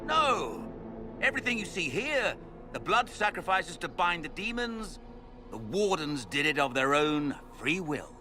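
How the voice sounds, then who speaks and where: An actor playing a smug, theatrical villain, a cultist mage lord with a pompous, mocking manner in a video game cutscene. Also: male voice, fairly high pitch, very animated, a little slow, over music. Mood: mocking, sly.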